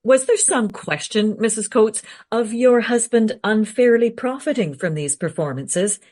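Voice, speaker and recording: A woman's voice: fairly high pitch, a little slow, darkish tone. A woman playing a courteous modern interviewer in a radio play, asking tactful but probing questions.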